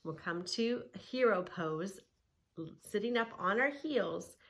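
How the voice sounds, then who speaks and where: Slow, soothing woman reading to kids. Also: mid-range voice, expressive. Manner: gentle, soothing, instructive. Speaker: kids yoga teacher and reader. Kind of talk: reading a picture book aloud and guiding yoga poses.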